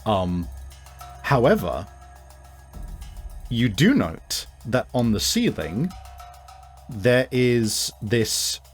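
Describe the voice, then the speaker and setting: A man improvising narration as game master of a tabletop game, in a halting, conversational way. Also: mid-range voice, very animated, husky. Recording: music behind the voice. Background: music.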